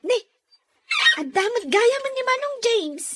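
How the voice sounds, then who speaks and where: A woman's voice: extremely high pitch, animated, measured pace, a little hiss or hum. A woman playing a chatty, cheeky younger sister in a radio comedy drama. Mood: cheeky, protesting.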